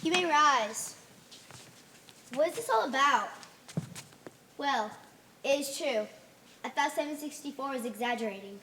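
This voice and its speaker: A woman's voice: high voice, animated, unhurried, pauses often, noisy background. A woman playing a pompous, dogmatic alien holy leader in an amateur stage play, making solemn, commanding pronouncements. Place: theater stage.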